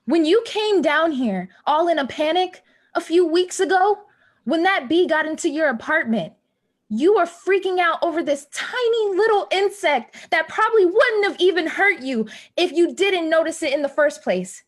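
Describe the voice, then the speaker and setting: A woman plays a wounded, anxious neighbor with a high voice. She pleads and reproaches earnestly, insisting on her point in halting, naturalistic stage dialogue. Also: lively intonation. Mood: accusing, wounded.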